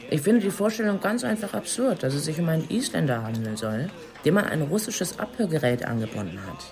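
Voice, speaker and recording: An actress playing a sceptical, forthright police detective in a German radio drama, with a pointed, questioning manner. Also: female voice, deep voice, expressive, a little fast, a crowd in the background. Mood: sceptical, insistent.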